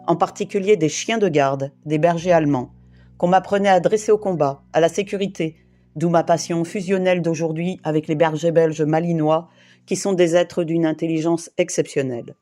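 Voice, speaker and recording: A woman from the Paris region, in her late fifties or older, gives a prepared first-person testimony in a grave, deliberate way, piling up short phrases one after another. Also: fairly low pitch, dark tone, soft background music. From Île-de-France, France. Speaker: interviewee giving testimony.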